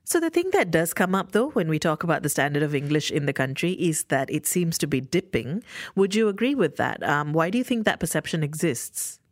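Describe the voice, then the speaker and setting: A woman from Malaysia hosts a radio interview, putting brisk, direct questions to her guest. Her voice sits very low, and the sound is dry and close. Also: expressive, no room sound. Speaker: radio host.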